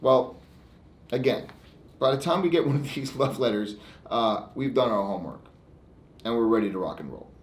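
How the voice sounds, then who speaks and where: American male lawyer-host, mid-pitched, defiant and emphatic, halting informal delivery to camera, roomy echo. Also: lively intonation. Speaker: lawyer hosting his own online segment. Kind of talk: informal monologue to camera, reading out e-mails. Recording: echoey room.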